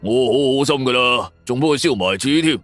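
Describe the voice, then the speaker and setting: A man's voice: mid-range voice, animated, fairly quick, a bit bright, lightly acted. A man with a Hong Kong Cantonese accent voicing a scornful, resentful wealthy man in an audio drama. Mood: scornful, bitter. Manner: haughty, sneering. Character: scornful, resentful wealthy man, callous about his sister. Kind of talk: audio drama character dialogue. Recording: background music under voice.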